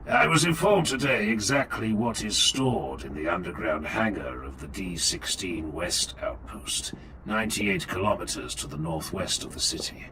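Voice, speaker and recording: A man playing a stern, relentless warrior chaplain, grave, measured and commanding, in a dramatic audiobook style. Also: low-pitched, natural voice. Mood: stern, probing. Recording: clear noise and ambience behind.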